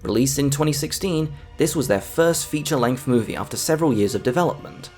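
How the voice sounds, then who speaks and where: A man narrates a scripted film review in an enthusiastic, emphatic way. His mid-pitched voice moves around with lively intonation. Soft music plays underneath. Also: fast. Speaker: video essayist, film reviewer. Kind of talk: scripted video essay narration. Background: background music.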